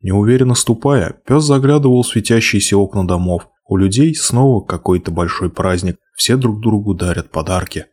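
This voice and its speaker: A man reading a fairy tale aloud, expressive, slowing and hushing for the sad passages. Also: fairly low pitch, breathy edge. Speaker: narrator. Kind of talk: storybook reading.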